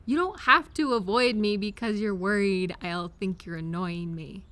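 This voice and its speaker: A woman playing an affectionate, reassuring friend or partner in an intimate comfort audio roleplay, speaking gently and directly to the listener. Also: mid-range voice, normal speed, lightly acted. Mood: encouraging, tender.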